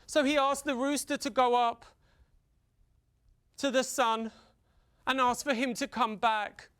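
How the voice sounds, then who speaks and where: A man from the UK telling a folktale theatrically for children, with dramatic pauses, repetition and drawn-out emphasis. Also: very high-pitched, fairly even intonation, a bit bright, clean tone. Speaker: storyteller.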